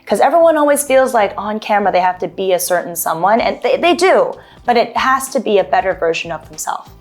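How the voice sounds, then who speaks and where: A woman's voice: medium pitch, animated, a little fast. A woman from Toronto, an actor chatting loosely as a guest, giving advice with many ums and restarts. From Toronto, Canada. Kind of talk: casual interview advice.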